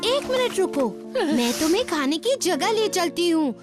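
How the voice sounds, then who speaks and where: A woman's voice: very high-pitched, big pitch swings, normal speed, over music. A woman voicing a sweet, gullible young female wolf in a cartoon, childlike and earnest. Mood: caring. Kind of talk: animated cartoon dubbing.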